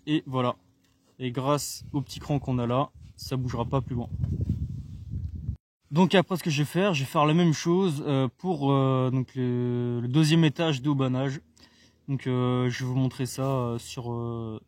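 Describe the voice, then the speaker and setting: A man's voice: medium pitch, darkish tone, slight background noise. A man from France casually explaining, step by step, how he sets up his portable antenna outdoors, stalling often with fillers and restarts. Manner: hesitant, many fillers and restarts. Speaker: CB radio hobbyist demonstrating his gear. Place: outdoors on open ground.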